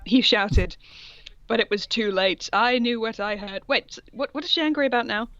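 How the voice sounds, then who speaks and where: A woman's voice: fairly high pitch, normal speed, fairly bright tone, dry recording, muffled recording. A woman co-hosting a comedy podcast, reading fan fiction aloud and breaking off for sarcastic, jokey comments.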